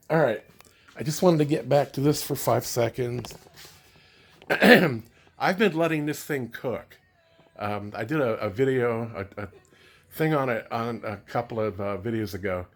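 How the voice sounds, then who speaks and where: A man talking casually and unscripted as a vlogger showing gear, rambling and halting as he thinks aloud. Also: medium pitch. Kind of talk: casual unscripted show-and-tell.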